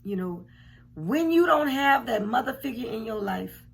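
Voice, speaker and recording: A woman in her forties, a bit hoarse, giving frank, emphatic commentary from her home, repeating points for weight. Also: fairly high pitch, lively intonation, normal speed. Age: 40s. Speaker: commentary vlogger. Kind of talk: casual reaction commentary. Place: home room indoors. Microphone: camera at desk distance.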